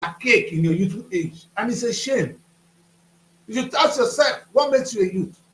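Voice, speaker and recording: A man's voice: high voice, expressive, normal speed, a bit bright, echoey room, rough recording. A man, hosting a studio talk show and lecturing with rhetorical questions. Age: 50s. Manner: preachy, rhetorical questions, emphatic.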